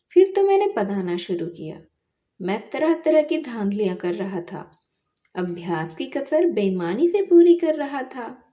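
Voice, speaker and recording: A woman reading a story expressively, with dramatic pauses and emphatic repetition. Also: a little high, dull audio.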